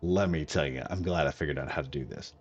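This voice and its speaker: A man's voice: extremely low pitch, fast, lo-fi sound, faint music behind. A man recovering from a cold, coughing now and then, giving a rambling, chatty commentary on a game build. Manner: rambling, chatty, self-correcting. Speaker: gaming video creator.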